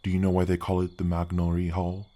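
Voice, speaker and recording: A big, helpful male gargoyle, acted by a man with a very deep voice, lowered by an effect. He talks softly and earnestly, as in a fantasy ASMR roleplay, with courteous, slightly hesitant phrasing that makes him a kindly, bashful guardian. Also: completely flat delivery, fast talker. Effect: deep-voice pitch effect. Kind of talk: ASMR fantasy roleplay, soft conversational acting.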